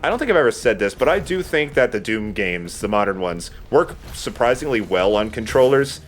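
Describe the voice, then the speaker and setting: A man's voice: lowish voice, sing-song, fairly quick, faint music behind. A man giving casual, rambling gaming commentary as a let's play host, sometimes swearing.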